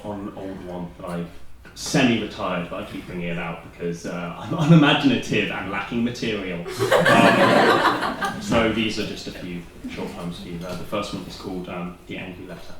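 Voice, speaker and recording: A man's voice: fairly low pitch, background noise. Welsh man performing poems in an echoey venue. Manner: dry self-deprecating humor between poems. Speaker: spoken word poet. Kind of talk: poetry slam performance. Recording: very echoey room recorded from a distance.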